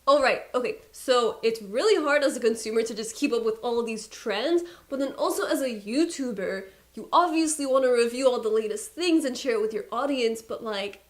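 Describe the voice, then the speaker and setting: A young woman with a Persian accent, a beauty YouTuber talking casually to camera, chatty and exasperated with playful asides. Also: high voice.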